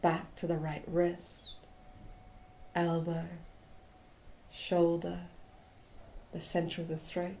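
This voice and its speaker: A woman leads a guided meditation in a low, soft voice with very little rise and fall. She speaks slowly in short, spaced phrases, soothing and hushed. There is a faint layer of background noise. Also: monotone. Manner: short phrases with long pauses. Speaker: yoga nidra guide.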